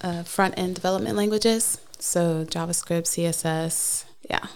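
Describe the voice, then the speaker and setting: A woman from the United States, a guest on a studio podcast answering questions thoughtfully, often laughing and pausing with uh. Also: fairly low pitch, a little mellow. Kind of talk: casual interview answers.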